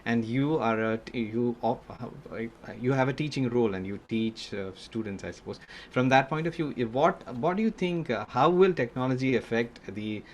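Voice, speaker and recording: A man's voice: mid-range voice, moderate pace, hardly any pauses, a little hiss or hum. A man hosting a podcast, asking interview questions in a hesitant way full of fillers and self-corrections. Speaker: podcast host.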